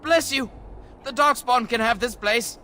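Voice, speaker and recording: An actor playing a smug, cowardly bandit leader in a video game, theatrical and wheedling. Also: male voice, extremely high pitch, a little slow, bright, forceful tone, soft background music, full character voice. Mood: panicked pleading. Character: smug male bandit leader turning cowardly when beaten.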